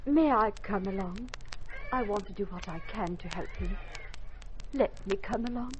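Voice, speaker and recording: An actress playing an earnest, caring young mission nurse in colonial India, in 1950s radio drama style. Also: female voice, medium pitch, normal speed, close to an everyday voice. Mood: pleading. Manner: earnest, pleading. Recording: noisy 1950s radio recording.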